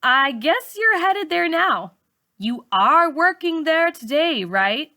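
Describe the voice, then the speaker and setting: A woman voicing a composed, businesslike female teacher of forty from a storybook, measured and matter-of-fact. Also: high-pitched, sing-song, pushed and bright, voice pushed up, exaggerated voice. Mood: pointed.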